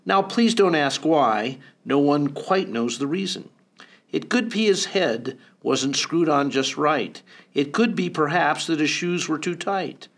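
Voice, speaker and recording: Man, mayor, reading a kids' rhyming book aloud, expressive, slightly high voice. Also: a little slow. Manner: expressive, rhythmic storybook reading with swings in loudness. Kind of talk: reading a children's storybook aloud.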